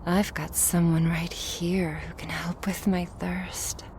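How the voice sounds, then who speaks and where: A woman's voice: fairly low pitch, flat delivery, takes it slowly, darkish tone, a little hiss or hum. A woman playing a sly, taunting, thirsty vampire outsider in a scripted audio drama, teasing and smug. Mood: menacing, teasing.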